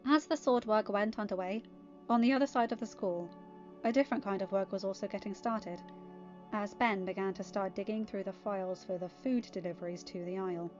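A woman's voice: mid-range voice, brisk, a little mellow, dull audio, soft background music. A woman with an English accent reading fan fiction and commenting on it casually. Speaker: amateur fan fiction reader. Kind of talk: storybook narration and casual commentary.

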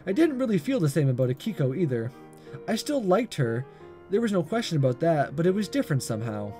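Animated higher-voiced man reading aloud. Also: fast talker, soft background music. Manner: stumbles and rereads lines. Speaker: gaming video host reading a visual novel aloud. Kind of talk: expressive amateur read-aloud narration.

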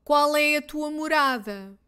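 A woman with a European Portuguese accent drills beginner phrases, saying each one twice. Her voice sits high, and she speaks slowly and carefully, giving every word its space. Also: pushed and bright. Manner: reads each line twice, clearly and deliberately. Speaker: Portuguese teacher. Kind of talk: slow repeated phrase drills for learners.

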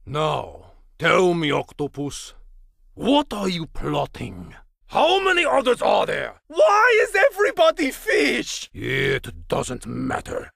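Gravelly, manic villain chef. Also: male voice, extremely high pitch, fairly bright tone, dry recording, clean studio sound, exaggerated voice. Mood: exasperated rage. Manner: theatrical, menacing, ranting. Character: frenzied vengeful villain chef hunting an octopus. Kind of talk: cartoonish video game villain acting.

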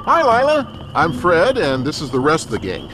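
Cartoon game gang leader, teen male: friendly, confident, take-charge, lightly high, lively delivery. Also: normal speed, low-quality recording. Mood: eager, friendly. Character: upbeat, confident teenage boy gang leader. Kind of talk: cartoon video game voice acting. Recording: music behind the voice.

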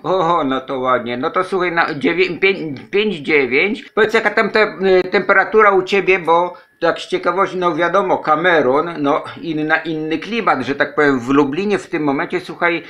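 A man's bright, pressing voice sits somewhat high. He is a radio amateur from Poland making a casual contact, chatty and repetitive, spelling out call signs as he goes. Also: moderate pace, few pauses.

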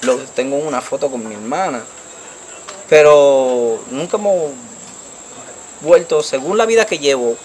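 A young Dominican man with a Puerto Rican accent telling his story in a street interview, animated and checking the listener follows him, into a handheld mic outdoors at night. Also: mid-range voice, a little slow, long pauses, slight background noise, poor audio. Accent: Puerto Rican Spanish. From Dominican Republic. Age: young adult. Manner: animated, gesturing, repeats "tú me entiendes". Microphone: handheld mic held by interviewer.

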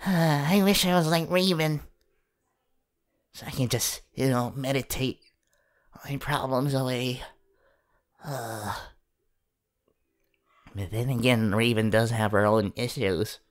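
A woman voicing a gentle, awkward, encouraging young male superhero in an ASMR comfort role-play, speaking haltingly and at times in a whisper. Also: rumbling low. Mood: reassuring. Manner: hesitant, halting, partly whispered.

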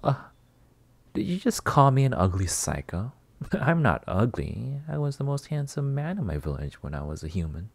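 A man playing a flirty, possessive, delusional vampire master in a roleplay ASMR monologue, teasing and theatrical with drawn-out words. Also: medium pitch, big pitch swings, creaky, slightly rough, dry recording, exaggerated voice. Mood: mocking, possessive.

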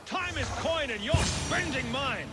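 A greedy, cowardly bully of an overseer, barking at an extremely high pitch. His delivery swings wildly. Loud game music plays over the shouting. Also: animated, unhurried. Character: greedy, bullying male overseer, panicky when threatened. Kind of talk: video game character acting.

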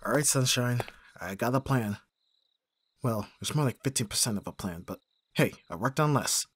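A man's voice: medium pitch, animated, normal speed, fairly bright tone, slight background noise, natural voice. A man playing an abrasive but protective bodyguard in an audio roleplay, blunt, sardonic and informal. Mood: wry resolve. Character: gruff tsundere male bodyguard, abrasive and protective. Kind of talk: scripted ASMR audio roleplay.

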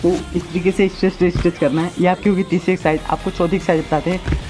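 Young male amateur fitness instructor, twenties, higher-pitched voice, casual step-by-step delivery, clear music bed underneath. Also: level tone, rapid-fire. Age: 20s. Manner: casual, instructive, repeats phrases. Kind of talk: workout demonstration talking to viewers. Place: outdoors in a brick-walled yard or rooftop. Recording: loud music behind voice.

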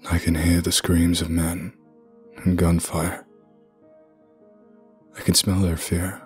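A man voicing a menacing, hungry predator lurking in darkness, husky and deliberate, in a dramatic monologue. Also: sits low, monotone, faint music behind.

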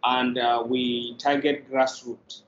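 A man's voice: mid-range voice, flat delivery, takes it slowly. A middle-aged man with a Nigerian accent explaining his work as an interview guest on a video call. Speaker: general manager, interview guest. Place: indoors in an office room on a video call. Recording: dull, echoey, faintly noisy video call.

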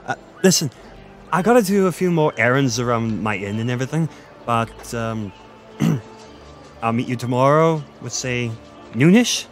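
A man's voice: mid-range voice, animated, normal speed, faint music behind, natural voice. A man with an Irish accent playing a friendly, flirty fantasy village innkeeper in a conversational audio roleplay, chatty and confiding. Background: village ambience with distant chickens.

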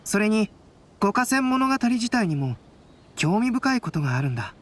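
Composed young man with expressive intonation. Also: medium pitch, normal speed. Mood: pensive. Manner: composed, polite, analytical. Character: calm, thoughtful young male artist-scholar. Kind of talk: anime game dialogue acting.

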